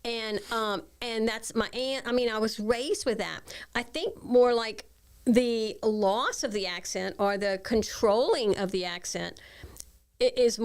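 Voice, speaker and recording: A woman with a Cajun accent from South Louisiana talks food and family on a podcast. She tells stories with plenty of life and a fairly high voice. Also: a little slow, clear, resonant tone. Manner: lively, animated storyteller. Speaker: guest, food tour owner. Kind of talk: casual podcast chat.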